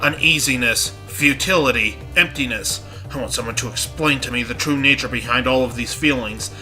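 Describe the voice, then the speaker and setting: Man reading a haunted young student heroine's monologue, congested, very bright tone. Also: medium pitch, normal speed, soft background music, rough recording. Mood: uneasy. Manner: reading inner monologue aloud. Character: anxious young female student, uneasy and haunted.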